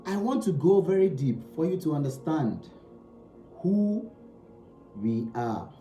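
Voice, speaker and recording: Guy preaching slow, warm mellow voice, echoey room, faint music behind. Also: on the high side, very animated. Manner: emphatic, repeats key phrases, asks rhetorical questions. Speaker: spiritual teacher. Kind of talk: slow spiritual teaching talk.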